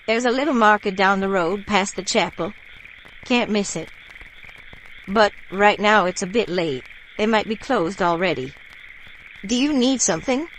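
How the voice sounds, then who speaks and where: A woman plays a reassuring country neighbor in a radio drama. She has a Louisiana bayou accent and a mid-pitched voice, with faint swamp ambience behind her. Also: animated, moderate pace, long pauses, a bit bright, a little hiss or hum, close to an everyday voice, rough recording. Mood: friendly, folksy. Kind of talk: audio drama acting.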